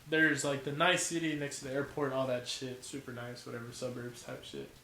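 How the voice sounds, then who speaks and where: A man's voice: mid-range voice, steady tone, a little fast, bright, forceful tone. Laid-back young man, echoey room. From California. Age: 20s. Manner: profane, laid-back slang. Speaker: guest, college football player. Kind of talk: casual chat. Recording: echoey room with faint noise.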